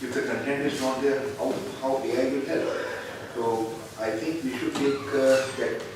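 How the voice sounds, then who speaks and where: A man's voice: mid-range voice, steady tone, moderate pace. A man speaking hesitantly at a forum, often repeating words. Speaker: panel speaker. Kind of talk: forum discussion. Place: conference hall. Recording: echoey hall, distant mic, background noise.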